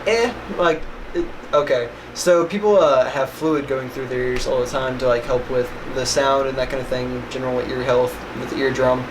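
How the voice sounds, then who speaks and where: A young man from West Virginia talks in an easygoing, rambling way, full of "like" and "uh", with no regional accent. His voice sits in a middle register. The home recording carries steady background noise. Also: mid-range voice, moderate pace. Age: young adult. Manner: rambling, filler-heavy, "like" often. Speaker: vlog co-host. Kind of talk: casual chat.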